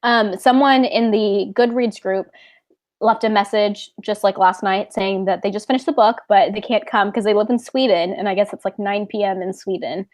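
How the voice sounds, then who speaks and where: Woman, casual book-club co-host, chatty and relaxed, mid pitch, talking into a home computer mic. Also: normal speed. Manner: relaxed, chatty, uses lots of "like". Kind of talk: casual chat.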